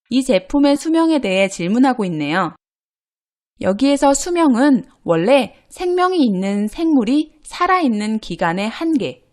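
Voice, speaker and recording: Female teacher, grammar lesson, lively pitch, slightly high voice, clear and patient delivery. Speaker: Korean language teacher.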